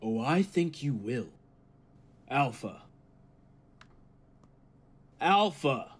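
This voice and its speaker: A man acts a humanlike robot villain in old-time radio style. He speaks slowly and deliberately, with a faintly rough voice at medium pitch. His manner is cold, grandiose and threatening. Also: big pitch swings, heavily acted. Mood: cold, calculating. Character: cold, megalomaniac humanlike male robot bent on world mastery.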